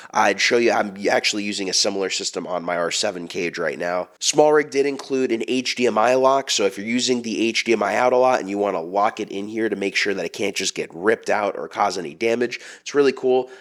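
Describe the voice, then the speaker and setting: Male gear reviewer, flu-rasped voice, lowish, steady explainer. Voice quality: deeper, raspier than usual. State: raspy from the flu. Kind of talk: product review to camera.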